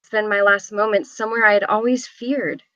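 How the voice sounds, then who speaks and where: A woman's voice: medium pitch, fairly even intonation, normal speed, fairly bright tone, no echo, lo-fi sound, natural voice. A woman reading the part of a haunted young woman who reflectively narrates her family's tragic story at a script table read. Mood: somber.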